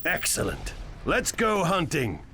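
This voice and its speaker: An actor playing a smug, ambitious crime lord in a video game, wry and grandiose in manner. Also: male voice, a little high, wildly swooping pitch, unhurried, bright, forceful tone, faint music behind, heavily acted. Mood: scheming. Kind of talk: video game cutscene acting.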